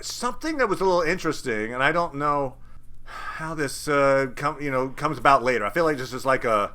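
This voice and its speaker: Bright-voiced man chatting casually. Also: mid-range voice, lively intonation, a little fast. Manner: chatty, thinks aloud with restarts and acted asides. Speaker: podcast co-host. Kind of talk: casual chat about a TV episode.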